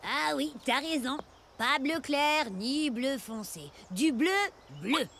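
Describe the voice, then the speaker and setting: A woman voicing an eager young adventurer in an animated cartoon, chatty and exclamatory. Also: high voice, slow, background noise. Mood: cheerful. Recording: music under the voice.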